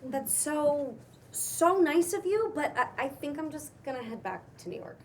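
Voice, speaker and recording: Woman playing awkward hesitant gal, high voice, stammery and slangy, little distant from the mic. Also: animated. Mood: hesitant, polite. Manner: halting, stammering, casual and slangy. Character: awkward, hesitant woman from New York, dryly skeptical. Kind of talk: cold table read of a comedy pilot. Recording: distant room mic, faint background noise.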